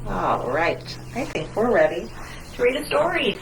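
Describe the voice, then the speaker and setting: A woman's voice: mid-range voice, sing-song, a little slow, background noise. A woman children's librarian leading a storytime, speaking to young children in an encouraging, singsong way. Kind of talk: children's storytime with movement and read-aloud.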